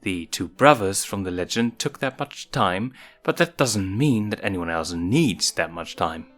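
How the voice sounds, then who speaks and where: A man voicing a calm, motherly adult lioness queen who explains things patiently in a story. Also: low-pitched, unhurried. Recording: music behind the voice.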